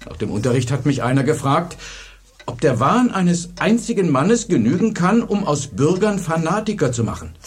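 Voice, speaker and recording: An actor in a radio play as an earnest, deliberate high school history teacher. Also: male voice, a little mellow, husky, full character voice. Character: driven, thoughtful high school history teacher, married man. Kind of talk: German radio play acting.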